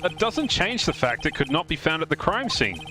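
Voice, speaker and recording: A man's voice: on the high side, big pitch swings, normal speed. A man playing an earnest, flustered young defense lawyer in an amateur live dub of a courtroom game. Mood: insistent. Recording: game music clearly behind voice.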